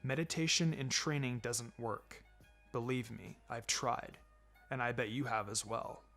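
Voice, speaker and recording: A man voicing a laid-back adult male ninja mentor in a fan fiction reading, wry and gently sombre, speaking in a measured, low-key way. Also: fairly even intonation, soft background music. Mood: gentle advice. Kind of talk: fan fiction audiobook character acting.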